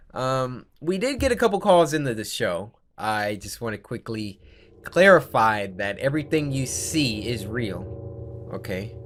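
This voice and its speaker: Man as a swooping, unhinged show host. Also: medium pitch. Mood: gleeful. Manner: mock-chummy, theatrical, erratic. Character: smug, unhinged, taunting late-night show host. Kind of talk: theatrical show hosting.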